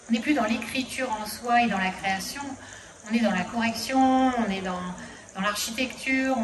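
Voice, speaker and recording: Female teacher-novelist, mid-pitched, emphatic and fluent; roomy, noisy venue. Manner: lively, emphatic, flowing. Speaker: schoolteacher and novelist. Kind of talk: festival interview. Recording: echoey room with noise.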